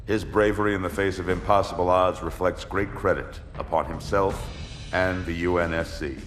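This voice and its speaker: A man playing a deep-voiced stern fleet admiral. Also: fairly even intonation, normal speed, faint music behind, close to an everyday voice. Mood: solemn. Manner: commanding, clipped military orders. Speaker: fleet commander. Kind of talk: cinematic game cutscene acting. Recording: roomy mix with loud score.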